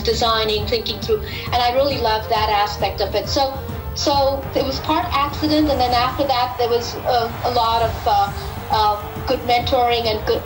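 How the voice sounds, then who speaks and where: A middle-aged woman from Pakistan, a physicist answering interview questions thoughtfully and pausing to repeat words as she forms her ideas. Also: on the high side, roomy sound, poor audio.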